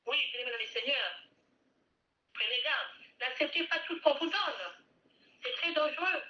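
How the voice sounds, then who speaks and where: A woman preaches with fervour, circling back over her phrases and urging the congregation to repeat after her. Her voice sits on the higher side. The recording sounds muffled and lo-fi. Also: normal speed, sharp tone. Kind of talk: sermon.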